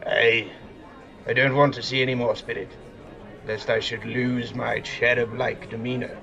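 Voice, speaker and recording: A man's voice: medium pitch, little pitch movement, moderate pace, gravelly, pushed and bright, muffled recording, faint music behind, some chatter behind. A man playing a miserly, grumpy old man in an audio play, with an indignant, curmudgeonly manner. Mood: sour.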